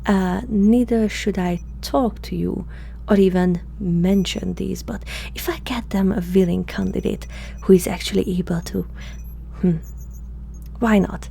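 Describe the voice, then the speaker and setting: Slow, creaky-voiced alien woman experimenter, acted by a woman. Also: mid-range voice, steady tone, slight background noise. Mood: flustered, hesitant. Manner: hesitant, halting, softly spoken, often slipping into whispers. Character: curious, flirtatious female alien experimenter aboard a spacecraft. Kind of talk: ASMR roleplay, intimate and close.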